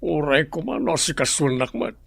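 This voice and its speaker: A man's voice: fairly high pitch, very animated, normal speed, gravelly, husky, dry recording, exaggerated voice. An actor playing a bitter man recovering in hospital, speaking in a grim, resentful way in a radio drama.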